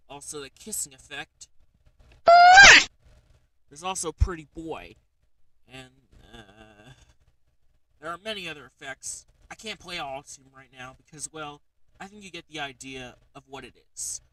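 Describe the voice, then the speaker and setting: A man's voice: fairly high pitch, animated, unhurried, pauses often, a bit bright, distant mic, low-quality recording. Rambling man streaming, mic set back. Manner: rambling, frequent fillers and "alright?" check-ins. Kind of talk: casual livestream gadget demo.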